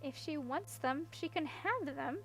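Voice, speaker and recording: A woman's voice: high voice, a little slow, fairly bright tone, voice pushed up, rough recording. A woman voicing a headstrong, practical young princess in a storybook, with a frank, questioning, matter-of-fact manner. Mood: brisk, practical. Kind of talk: audiobook character voice.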